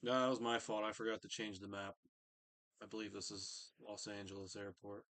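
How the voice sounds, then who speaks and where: A man with a lowish, very even voice hosts a casual live stream. He mutters along in a distracted way, and the sound is dry, with little room around it. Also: fairly quick, no room sound.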